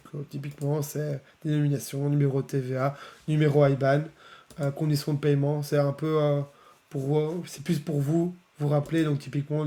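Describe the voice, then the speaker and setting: A man with a cold, a bit hoarse and nasal, casually presenting software on a live stream. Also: a little high. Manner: chatty, many fillers, laughs often. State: has a cold, slightly hoarse.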